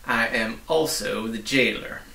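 A man's voice: on the low side, measured pace, vocal fry, bright, forceful tone, reverberant, recorded from a distance, heavily acted. A man voicing a tiny, fierce, bossy storybook policeman with a blustering, accusatory manner. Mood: brisk, officious.

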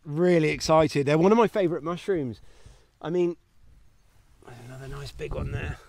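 A man's voice: on the high side, normal speed, gravelly, a little mellow. A man in his thirties vlogging outdoors while walking a grassy paddock, chatty and enthusiastic as he points things out. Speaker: homesteading channel host. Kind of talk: walking vlog, mushroom foraging tips. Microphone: phone held at arm's length.

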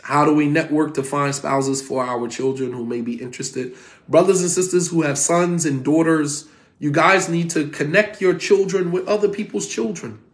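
A man in his forties teaching an online class from home, talking in a lively, conversational way and repeating points for emphasis. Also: a little high, normal speed. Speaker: Islamic teacher. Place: home room, indoors. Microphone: phone camera at desk.

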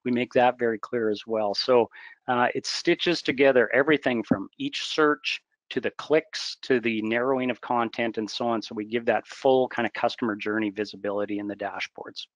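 A man's voice: medium pitch, fairly even intonation, normal speed, no room sound, low-quality recording. A man answering questions in a product webinar, explaining in a conversational way with fillers. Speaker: product demo presenter.

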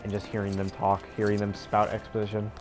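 A young man chatting casually as he streams a game, rambling and halting in an amused way. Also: fairly low pitch, monotone. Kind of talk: casual live gameplay chat. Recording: loud game music and sounds behind. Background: video game music and effects.

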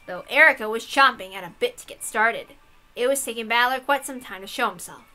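A woman host reading a visual novel aloud, mixing dramatic narration with excitable, irreverent, sweary asides. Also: on the high side, a little fast, soft background music.

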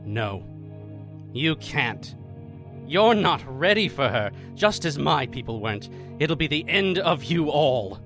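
A grave survivor of an alien people , acted by a man, delivers doom-laden warnings in a drawn-out way. The pitch sits fairly high and swings widely, with music clearly behind it in a science-fiction audio drama. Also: big pitch swings, a bit bright, exaggerated voice.

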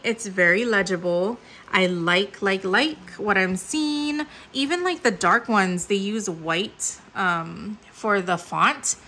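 Young woman hosting a craft unboxing, chatty and upbeat, bright tone, faint background hiss. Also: medium pitch. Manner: chatty, upbeat, many fillers. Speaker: crafting channel host. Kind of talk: casual unboxing commentary. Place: indoors at a table. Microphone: overhead camera mic, hands-only framing.

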